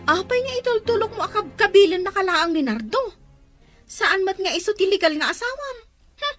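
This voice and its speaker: A woman's voice: very high voice, big pitch swings, brisk, fairly bright tone, faint music behind, exaggerated voice. An actress playing an adult daughter who scolds her mother in an exasperated way. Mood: scolding.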